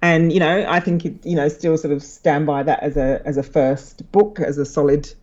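A woman's voice: sits low, fairly even intonation, moderate pace, darkish tone, dull audio. A woman with a London accent and a slight Australian twang, chatting as a podcast guest late at night and laughing easily between her ums. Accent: London English with a slight Australian twang. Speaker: guest author. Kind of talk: casual interview chat. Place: home in Sydney, late at night.

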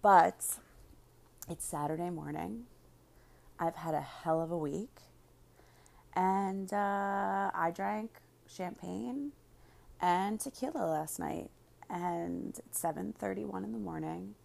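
Woman podcast host, lowish creaky slightly raspy voice, talking straight to listeners. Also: slow, long pauses, darkish tone. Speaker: podcast host and business coach. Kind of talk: podcast monologue, promotional then casual chat.

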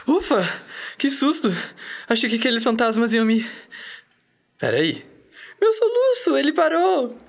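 A woman's voice: high voice, sing-song, measured pace, creaky, exaggerated voice. A performer with a woman's voice playing a timid but eager young boy in a children's radio drama. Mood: relieved, excited.

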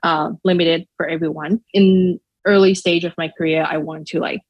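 A woman from Shanghai with a Chinese accent, answering interview questions thoughtfully and filling pauses with "um" and "like". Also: lowish voice, fairly even intonation, muffled recording. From Shanghai, China. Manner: thoughtful, many fillers like "um" and "like". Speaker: podcast guest.

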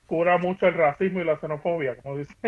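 A man's voice: on the high side, quick pace, phone-quality sound. A man hosting a podcast, chatting casually with a guest. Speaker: podcast host. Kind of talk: casual conversational interview.

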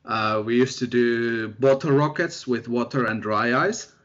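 A young man from Finland, a researcher, chatting in an interview in a relaxed, self-deprecating way with lots of fillers. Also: medium pitch, a little slow. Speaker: guest researcher. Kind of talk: casual interview chat. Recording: dull lo-fi sound.

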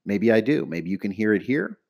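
Lively enthusiastic male podcast host. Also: on the low side, a little fast, vocal fry, muffled recording. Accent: Pittsburgh. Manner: enthusiastic, repetitive, salesy. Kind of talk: upbeat promotional podcast intro.

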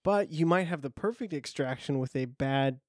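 A man hosting a casual podcast, friendly and halting, with many restarts. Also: a little high, dry recording, studio quality. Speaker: podcast host.

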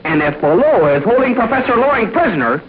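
An excitable boy adventurer in a vintage radio serial. His high voice swings earnestly up and down, heard through a thin, noisy old recording. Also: quick pace, phone-quality sound, a loud crowd. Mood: excited speculation. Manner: earnest, exclamatory.

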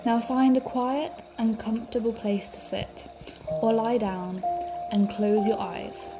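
A woman's voice: a little high, poor audio. Woman guiding a meditation over music. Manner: calm, soothing, measured delivery. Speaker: meditation guide, podcast host. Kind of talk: guided meditation narration. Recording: music behind the voice. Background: background music.

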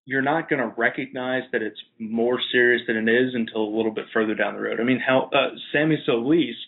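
A man hosting a sports podcast in a casual, somewhat halting chatty style. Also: mid-range voice, fairly even intonation, vocal fry, phone-quality sound.

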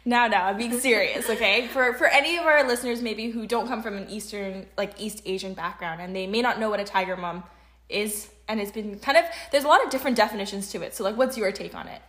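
A woman's voice: medium pitch, fast, fairly bright tone. A woman in her early twenties with a Canadian accent, co-hosting a casual conversational podcast in an articulate, discursive way. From Canada, grew up in Vancouver. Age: early 20s. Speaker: podcast co-host.

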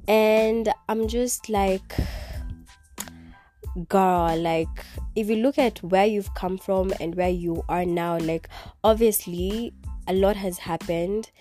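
A woman's voice: medium pitch, slow, mellow, faint music behind. Rambling Kenyan woman on a phone. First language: Kikuyu. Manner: rambling, heavy use of "like", mixing in Swahili words. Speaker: podcast host. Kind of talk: casual solo podcast monologue. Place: on her bed at home.

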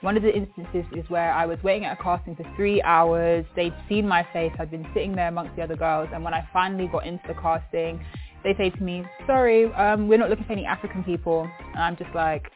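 A woman's voice: lowish voice, fairly even intonation, fast. A woman in her twenties, a fashion model, giving an interview and vividly retelling what happened with quoted exchanges. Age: 20s. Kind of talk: interview testimony. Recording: phone-like sound with faint music.

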